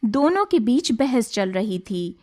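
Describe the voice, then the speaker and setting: A woman's voice: fairly high pitch, big pitch swings, slow pace, mellow. A woman narrating a scripted story as a storyteller.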